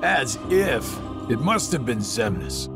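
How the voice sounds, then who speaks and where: An actor playing a sly, cocky middle-aged villain in a video game, with a sarcastic, evasive drawl. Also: male voice, medium pitch, sing-song, takes it slowly, gravelly, music in the background, heavily acted. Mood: dismissive. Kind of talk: cinematic video game voice acting.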